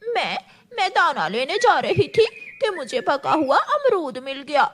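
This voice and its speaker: A woman's voice: high-pitched, big pitch swings, unhurried. A woman voicing a quarrelsome, sly female crow in a children's cartoon, defiant and given to whining. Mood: tearful complaining. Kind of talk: children's cartoon story dub.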